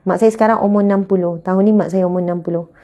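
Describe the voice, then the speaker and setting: A woman's voice: fairly low pitch, steady tone, very fast, dull audio. A woman in her late thirties with a Malaysian Malay accent, giving a chatty religious talk as a teacher, often adding pious exclamations. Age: 30s.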